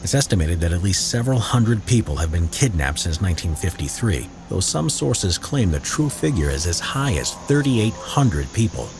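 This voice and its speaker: A man narrating a history documentary in a measured, expressive, scripted storytelling style. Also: sits low, background noise. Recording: narration with faint music bed.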